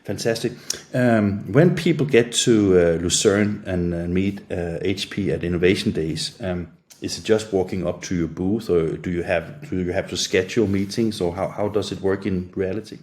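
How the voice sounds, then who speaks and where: A man with a Danish accent, a native Danish speaker, interviewing a guest remotely, halting with many filler uhs. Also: low-pitched, a little mellow. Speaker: interviewer, trade media editor.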